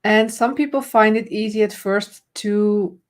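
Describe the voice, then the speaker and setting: A woman with a Dutch accent speaks as a confidence coach in a live online conversation. Her English is fluent but not native, and she explains things in a friendly, loose way, restarting sentences and adding fillers as she goes. Her voice sits at an ordinary middle pitch. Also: steady tone, measured pace. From Netherlands. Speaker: confidence trainer and host.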